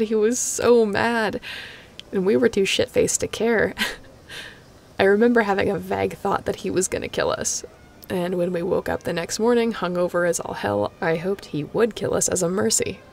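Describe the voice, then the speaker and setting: A woman's voice: on the low side, creaky, a little mellow. A woman playing a snarky, affectionate but guarded space captain in an intimate sci-fi audio roleplay, talking in a casual, teasing way. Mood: amused, nostalgic.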